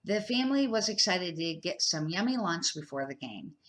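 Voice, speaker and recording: A woman's voice: fairly low pitch, very animated, normal speed, fairly bright tone. An older woman reading a children's book aloud in an unpolished way, with small slips and restarts. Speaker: storybook reader.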